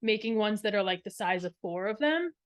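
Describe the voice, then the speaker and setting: A woman's voice: medium pitch, expressive, brisk. A woman co-hosting a craft podcast, talking casually in a show-and-tell style and rambling as she thinks aloud. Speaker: knitting podcast co-host.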